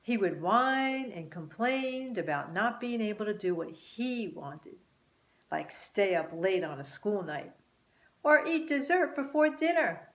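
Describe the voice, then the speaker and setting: Slow, animated woman storyteller in echoey room. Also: medium pitch. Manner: expressive, deliberate, dramatic pauses. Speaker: author and teacher reading own book. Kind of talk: children's storybook narration. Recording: roomy, echoing room sound.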